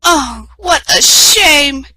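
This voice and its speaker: A woman voicing a cold, mocking stepmother from a storybook, sneering in slow, drawn-out phrases. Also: high-pitched, lively intonation, sharp tone, voice pushed up, exaggerated voice.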